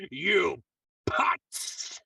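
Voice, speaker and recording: Gravelly, spiteful old miser. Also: male voice, sits high, sharp tone, dry recording, clean studio sound, distant mic, full character voice. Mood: scornful. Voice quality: gravelly, raspy. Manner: menacing, cackling, drawn-out delivery. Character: spiteful, gloating old miserly uncle with a pet raven. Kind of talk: 1940s horror radio acting.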